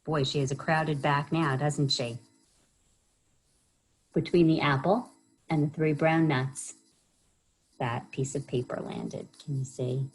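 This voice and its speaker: A woman reading a children's story aloud as a library storyteller, expressive and often pausing to put questions to young listeners. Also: low voice, low-quality recording.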